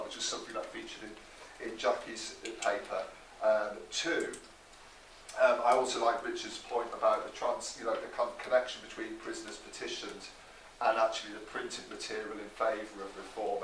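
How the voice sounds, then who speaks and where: Male academic chair, low voice, hesitant extempore delivery, recorded far from the mic with faint noise. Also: fairly bright tone. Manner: hesitant, halting, repeats words. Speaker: panel chair at an academic conference. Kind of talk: semi-formal extempore academic summing-up. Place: conference room, indoors. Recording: distant mic, echoey room, faint noise.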